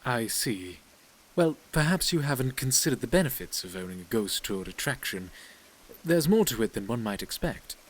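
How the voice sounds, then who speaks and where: An actor playing a prim, mysterious business partner in an audio drama, speaking formally and politely, with measured evasiveness. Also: male voice, medium pitch, very animated, breathy edge, rough recording. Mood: grave, hesitant.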